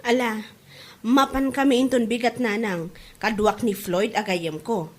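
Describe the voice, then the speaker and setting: High-voiced eager Ilocano daughter acted by a woman. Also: fairly even intonation, unhurried. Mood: earnest. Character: eager daughter hoping to win singing contest. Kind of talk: Ilocano comedy drama acting.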